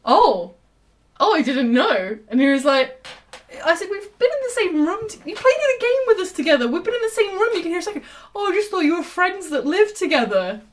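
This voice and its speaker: A woman chatting as a podcast guest, telling stories with lively acted-out dialogue. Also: extremely high pitch, brisk. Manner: animated storyteller who acts out quoted dialogue. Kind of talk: casual chat.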